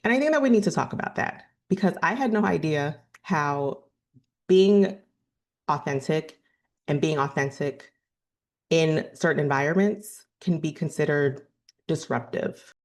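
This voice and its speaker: A woman in her thirties with a deep register, earnestly telling a personal story to camera and often correcting herself mid-sentence. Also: animated, unhurried. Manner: earnest, thoughtful, with frequent self-corrections and "you know". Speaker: vlogger.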